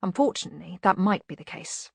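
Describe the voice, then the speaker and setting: A woman voicing a brisk, stern governess in a storybook, speaking quietly and firmly with dry menace. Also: lowish voice, expressive, creaky, breathy edge, no echo, heavily acted.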